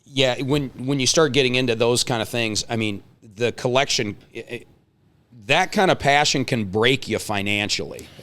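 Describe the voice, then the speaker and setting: Guy in his 40s, clear smooth voice, hosting a laid back chat, slangy and rambly. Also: mid-range voice, normal speed, fairly bright tone. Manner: informal, slangy, rambling asides. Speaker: host. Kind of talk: casual chat, hosting an interview.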